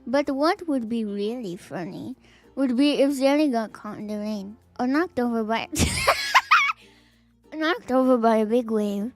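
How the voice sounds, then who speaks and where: A woman's voice: fairly high pitch, a little slow, faint music behind. A woman streamer reading viewer fanfics aloud on a live stream, playful and giggly, with teasing asides.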